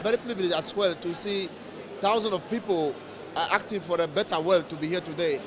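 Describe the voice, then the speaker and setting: A man's voice: sits high, brisk, crowd noise, noisy background. A young man who leads a youth organization, talking earnestly and enthusiastically in a vox pop interview.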